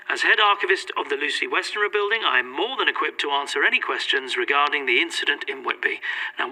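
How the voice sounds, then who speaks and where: A very sharp, forward voice as a guarded head archivist. He is a man speaking a little high, with clipped politeness and formal, evasive phrasing, as if before the press in an audio drama. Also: heavily acted, poor audio.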